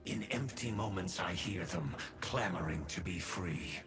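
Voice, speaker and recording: An actor playing a vengeful, grim male demon archer in game voice lines, with a low, slow and menacing delivery. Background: game music.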